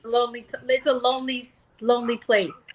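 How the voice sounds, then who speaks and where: Woman, middle-aged, podcast guest, candid storyteller, swears casually, fairly high voice. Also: level tone, fairly quick, noisy background. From New York. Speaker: guest author.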